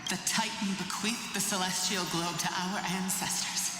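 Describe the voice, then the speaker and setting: A woman's voice: mid-range voice, fairly even intonation, close to an everyday voice. A woman playing a solemn, defiant priestess of an oppressed people in a fantasy game. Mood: grave. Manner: solemn, ceremonial, measured incantation. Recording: echoey hall reverb, music behind.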